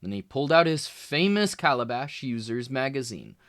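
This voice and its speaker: A man reading a children's fantasy book aloud, expressive and clear, with small voice shifts for the characters. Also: medium pitch, normal speed, no echo, studio quality. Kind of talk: read-aloud storybook narration.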